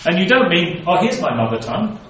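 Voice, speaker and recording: High-voiced preacher in an echoey hall. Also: male voice, sing-song, fairly quick, a bit bright, a little hiss or hum. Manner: expository Bible teaching, reading scripture aloud then explaining. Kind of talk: sermon. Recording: very echoey room, voice from a distance.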